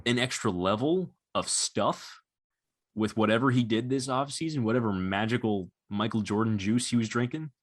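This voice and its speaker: Male podcaster, twenties, fairly low voice. Conversational, analytical sports talk. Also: moderate pace. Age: 20s. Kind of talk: casual analytical sports chat.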